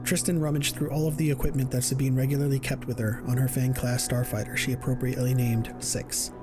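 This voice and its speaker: A man narrates a science fiction audiobook as a scripted storyteller. His pitch is average for a man, and music plays clearly behind him. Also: level tone, brisk.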